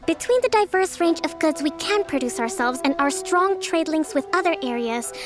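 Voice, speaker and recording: A young female island leader in a game. Her voice is high, her phrasing formal and measured. She stays polite yet firm, with music faintly behind her. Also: animated. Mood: calm, firm. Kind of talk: video game voice acting. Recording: soft music under the voice.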